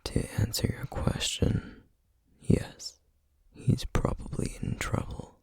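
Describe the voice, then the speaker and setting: A man playing a solemn, guarded, protective flame demon in a whispered ASMR roleplay, speaking formally and hesitantly with a breathy, gravelly voice. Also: no echo, lightly acted. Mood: somber, guarded. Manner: slow, measured, formal, hesitant.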